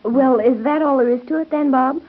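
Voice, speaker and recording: A woman's voice: high voice, fairly quick, husky. A woman acting as a supportive, curious young wife in a 1930s radio soap opera, speaking conversationally. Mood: interested. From United States. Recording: dull, noisy 1930s radio broadcast.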